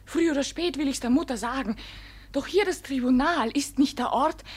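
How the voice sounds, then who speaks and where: An actress playing a very young village maiden, earnest and guarded yet defiant, breathy, in a classic radio play. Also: female voice, high voice, normal speed, close to an everyday voice. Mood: solemn, guarded. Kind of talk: classic German stage drama as radio play.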